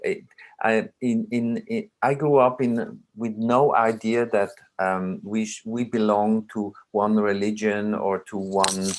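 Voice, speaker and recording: A man in his sixties, originally from Iraq, speaking as a film director at a remote panel, slow and halting with many fillers and restarts. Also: lowish voice.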